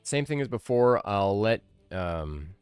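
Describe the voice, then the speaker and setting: A man's voice: on the low side, big pitch swings, slow, clean tone, no echo. A man in his thirties giving casual game commentary as a streamer, with wry, grumbling asides. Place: small indoor room, livestream setup. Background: game music.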